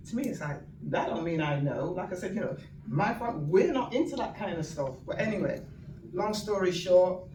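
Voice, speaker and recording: A woman's voice: low voice, noisy background. A woman in her fifties with a Mancunian accent, speaking as a guest at an event. She tells her story in a chatty, humorous way and laughs often. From Manchester, England. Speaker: guest speaker, community activist. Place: event venue with an audience. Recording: echoey room, distant mic, ambience.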